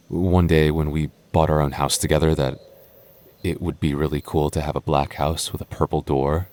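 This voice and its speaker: A young goth boyfriend, played by a man, talks close and soft in an ASMR roleplay. His voice sits low and warm, and he teases his partner fondly. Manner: soft, intimate, playfully teasing. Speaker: voice actor.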